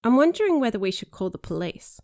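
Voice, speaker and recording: A woman's voice: a little high, very animated, fast, a little mellow, dry recording, studio quality, pitched above the performer's own voice. A woman voicing a nervous teenage boy. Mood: worried. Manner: earnest, nervous. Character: frightened earnest teenage boy.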